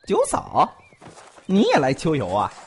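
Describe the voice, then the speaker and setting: A man's voice: fairly high pitch, wildly swooping pitch, measured pace, breathy edge, full character voice. A man voicing a talkative, joking young male physician who gossips and pleads comically in a multi-cast audio drama. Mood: teasing. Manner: bantering, giggly, exaggerated pleading.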